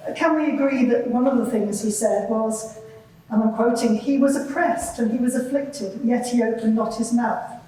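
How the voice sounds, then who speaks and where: A woman's voice: a little high, moderate pace, warm tone, slight background noise, natural voice. A woman playing a composed, probing lawyer who questions a witness formally and insistently in a live courtroom drama. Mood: measured, probing. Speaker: examining lawyer in a dramatised inquiry. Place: large reverberant courtroom, live event. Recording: very echoey hall, distant microphone.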